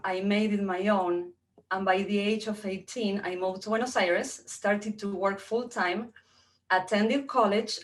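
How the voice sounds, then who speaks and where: A middle-aged woman with an Argentine accent, native in Spanish, speaks as a guest. She tells a personal, motivational story in a medium-pitched voice. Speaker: guest speaker, entrepreneur and coach.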